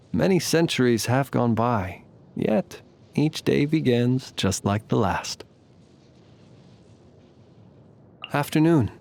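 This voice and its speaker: A courtly fae lantern keeper of the night, speaks in a medium-pitched male voice. He is calm, wry and very formal, shaping eloquent lines with measured, theatrical care, in the manner of a video game character. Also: vocal fry. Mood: courteous, leisurely.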